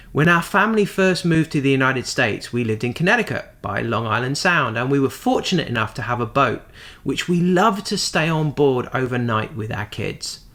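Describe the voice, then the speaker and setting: A man from Guernsey with a Guernsey English accent, a guest pastor opening his sermon with calm storytelling and light humor. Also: medium pitch, vocal fry.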